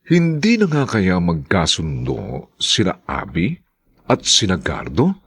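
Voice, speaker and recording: Male radio announcer, slow pace, husky, formal dramatic delivery, widely swinging intonation. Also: sits low, wildly swooping pitch, fairly bright tone. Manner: formal, drawn-out title phrases.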